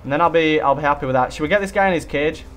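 A young man with a fairly high voice chats through a co-op zombie game, excitable and quick to hype his gun. A faint hiss of noise sits under the gameplay audio. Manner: excitable, hypes gun, swears mildly. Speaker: gaming channel host. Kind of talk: casual co-op gameplay chat.

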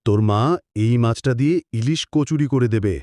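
A man's voice: mid-range voice, brisk, warm tone, dry recording, clean studio sound, lightly acted. An actor playing a poor, hard-working village fisherman father in a cartoon. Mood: placating. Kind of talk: cartoon voice acting.

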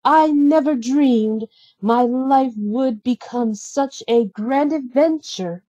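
A woman's voice: high voice, takes it slowly, mellow, no room sound, dull audio, pitched above the performer's own voice. A woman voicing a sweet, happy young bride princess in a storybook, gushing and earnest. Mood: joyful. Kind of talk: storybook character reading.

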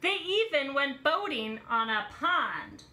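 A woman in her thirties reading a picture book aloud to young children in an expressive, exaggerated storytelling way, recorded on a phone in a room at home. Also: high voice, slow pace, echoey room.

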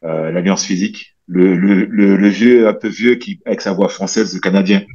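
A man in his thirties from French Guiana, chatting casually as an interview guest with a relaxed, rambling way of talking full of fillers and restarts. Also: low-pitched, moderate pace. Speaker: guest, bodybuilder and coach. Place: small room at home, indoors. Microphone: headset on a video call. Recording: slightly dull video call audio.

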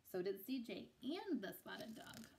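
A woman's voice: medium pitch, sing-song, unhurried, darkish tone, a little hiss or hum. Woman reading a picture book aloud. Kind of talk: read-aloud children's storytelling. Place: small room indoors.

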